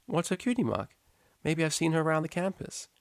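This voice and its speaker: A kindly female pony art teacher voiced by a man, pitched well above his normal voice. She speaks politely and coaxingly, like a storybook schoolmistress, in a dry recording. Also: sing-song, brisk, vocal fry, no room sound. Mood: curious, pleased.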